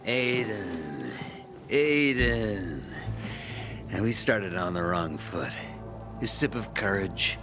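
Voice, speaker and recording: An actor playing a cocky, hard-drinking, hostile young survivor in a video game, swaggering and mocking. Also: male voice, on the low side, expressive, slow pace, pauses often, over music. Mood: grudging.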